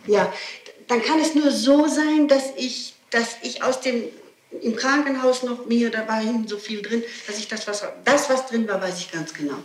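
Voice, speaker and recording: A woman's voice: a little high, normal speed, reverberant, rough recording. A woman answering questions under interrogation, explaining insistently and often repeating herself, on an old 1960s tape. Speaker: suspect being questioned. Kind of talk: spontaneous testimony under interrogation.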